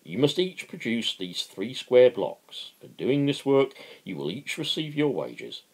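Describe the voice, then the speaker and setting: A man voicing a working man who patiently lectures as a pretend capitalist in a novel, emphatic and explanatory, with a gravelly voice. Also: mid-range voice, very animated, unhurried, voice pushed up, low-quality recording.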